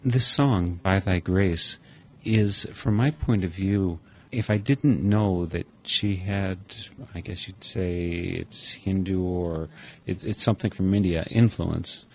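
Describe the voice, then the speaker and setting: A man from Wisconsin hosting a radio program, interviewing a musician in an unhurried, curious way. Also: low voice, darkish tone. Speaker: radio host.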